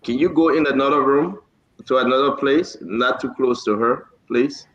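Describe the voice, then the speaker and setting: A man's voice: mid-range voice, normal speed, a bit bright. A man hosting a live talk show in a conversational way, often repeating himself as he directs his guests. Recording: roomy echo, dull lo-fi sound.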